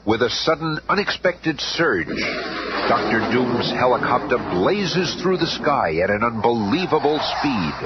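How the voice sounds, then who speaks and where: An actor playing a theatrical adventure narrator, telling a radio serial with suspense. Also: male voice, medium pitch, big pitch swings, unhurried. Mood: suspenseful. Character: dramatic, suspense-building adventure narrator, grown man. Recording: muffled, noisy old radio recording.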